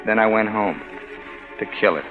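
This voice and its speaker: Actor as scheming American husband, muffled old radio. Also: male voice, lowish voice, normal speed, over loud music, lightly acted. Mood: brooding, calculating. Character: scheming, greedy husband narrating his murder plot. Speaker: lead actor and narrator in radio drama. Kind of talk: 1940s radio noir acting, first-person narration.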